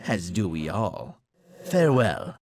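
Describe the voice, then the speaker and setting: A man playing a formal, obedient messenger in a video game, speaking in a courtly, measured way. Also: deep voice, huge pitch swings, gravelly, a little mellow, dry recording, clean studio sound, exaggerated voice. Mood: formal, dutiful. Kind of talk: video game cutscene acting.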